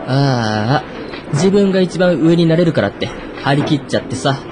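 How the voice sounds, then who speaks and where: An actor playing a breezy, slangy junior office worker in a radio drama. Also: male voice, on the high side, animated, moderate pace, background noise, close to an everyday voice. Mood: flippant. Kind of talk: naturalistic radio drama acting.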